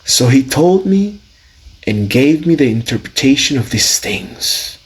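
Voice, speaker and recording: A man's voice: medium pitch, sing-song, unhurried, slightly rough, poor audio. A man reading scripture dramatically in a solemn, declamatory manner, stretching out phrases for emphasis. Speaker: student reader of scripture.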